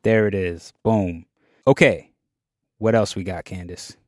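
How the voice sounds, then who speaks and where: A man's voice: low-pitched, fairly even intonation, measured pace, dry recording, clean studio sound. A man hosting a radio show in a casual chat style, with informal, short, reactive phrasing. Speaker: radio host.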